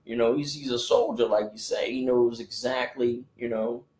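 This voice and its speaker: A man's voice: medium pitch, fairly even intonation, normal speed, a little mellow, echoey room. A man in his forties in a casual podcast chat, rambling with many restarts and fillers. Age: 40s. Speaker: podcast co-host, rapper.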